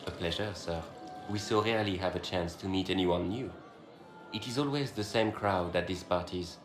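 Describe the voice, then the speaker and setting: A man's voice: low-pitched, moderate pace, echoey room, music in the background, natural voice. An actor playing a genteel, sociable male court guest in a fantasy video game, courtly and polite. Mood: affable.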